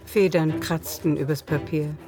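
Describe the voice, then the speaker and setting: A narrator tells a literary story in a radio play at a medium pitch. The scripted prose is carried along with music behind it. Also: steady tone, unhurried.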